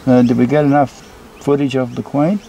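Mellow man amid faint bee buzz. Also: mid-range voice, little pitch movement, brisk, muffled recording. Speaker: beekeeper presenting a hive inspection. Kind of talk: casual explanatory vlog narration. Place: outdoors beside a beehive and brick house. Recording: clear buzzing insect noise behind. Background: buzzing bees.

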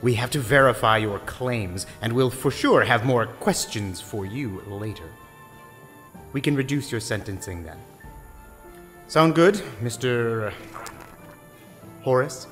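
A man's voice: medium pitch, expressive, slow, pauses often, a bit bright. An actor playing a stern, demanding lawman questioning a prisoner in an audio drama. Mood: stern, probing. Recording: long hall reverb, faint music.

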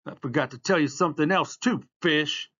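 A man's voice: a little high, moderate pace, bright, forceful tone, husky, muffled recording, voice pushed up. A man voicing a cocky, boastful boy racer in a storybook, brash and emphatic. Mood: boastful, teasing.

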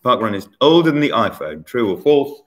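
A man in his forties hosting a casual online quiz from a bright room at home, chatty and teasing, recorded on a laptop webcam. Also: medium pitch, big pitch swings, a little fast. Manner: chatty, teasing, self-mocking. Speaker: quiz host.

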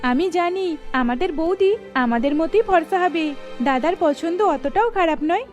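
Casting: woman to voice excitable young student sister, cartoon dub, very high-pitched, pouty and chiding. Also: moderate pace, faint music behind. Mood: teasing, chiding. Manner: animated, chiding. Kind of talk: melodramatic cartoon dubbing.

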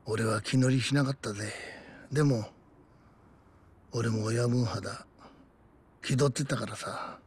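An actor playing a world-weary man who narrates his rough gang youth, reflective and rough-spoken, in a dramatic game. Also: mid-range voice, steady tone, slow, pauses often. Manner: rough masculine speech, reflective. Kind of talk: dramatic first-person game narration in Japanese.

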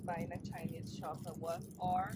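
Woman chatting over loud cabin noise. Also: lowish voice, little pitch movement, normal speed. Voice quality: non-native English phrasing. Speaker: travel vlogger. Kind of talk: casual vlog chat. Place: aboard an airliner cabin in flight. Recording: dull muffled sound, loud cabin drone. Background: loud aircraft cabin noise.

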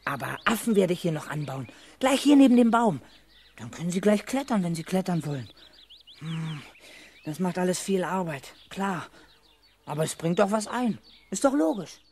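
A man's voice: sits high, lively intonation, moderate pace, vocal fry, darkish tone. A precocious little schoolboy in a children's radio play, full of big plans and very sure of himself. His delivery is matter-of-fact and earnest, on a slightly noisy old recording. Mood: eager, scheming. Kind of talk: 1970s German children's radio play acting.

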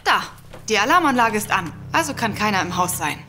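A woman's voice: mid-range voice, big pitch swings, brisk, sharp tone, a little hiss or hum. A woman playing a defiant, wry novelist sister in an audio drama. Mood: determined. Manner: confident, wry. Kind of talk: German audio drama acting.

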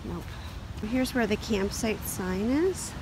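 A middle-aged woman talks casually into a handheld phone outdoors, sounding tired. She thinks aloud in short, unhurried phrases, and a loud wash of rushing water and outdoor noise runs through the recording. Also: mid-range voice, animated. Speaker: solo wilderness canoe camper vlogger. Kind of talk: casual spoken-to-camera trail vlog. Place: outdoors in forest and on river.

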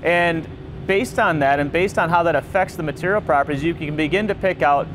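A man's voice: fairly high pitch, brisk, background noise. A man giving a tour of an industrial lab, explaining the technical work with many restarts. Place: large industrial lab indoors.

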